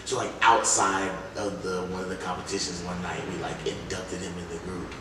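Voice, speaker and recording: A young man with a very low voice tells stories casually, full of slang and replayed bits of conversation. He sounds like a rapper in an interview, picked up from a distance in a very echoey room. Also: flat delivery, a little fast. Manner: slangy, acts out remembered dialogue. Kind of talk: casual anecdotal interview talk. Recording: echoey room, distant mic, faint noise.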